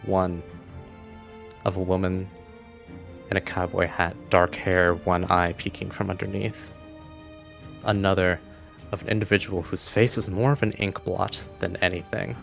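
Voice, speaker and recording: A man narrating a tabletop game as game master in a dramatic, deliberate style, pausing mid-sentence for suspense. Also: low-pitched, little pitch movement, vocal fry, fairly bright tone, soft background music.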